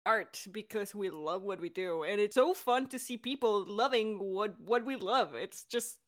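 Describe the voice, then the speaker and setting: A young woman hosting a casual podcast chat, talking in a bubbly, excitable and informal way. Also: medium pitch, a little slow. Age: young adult. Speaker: director and voice actor hosting a Q&A.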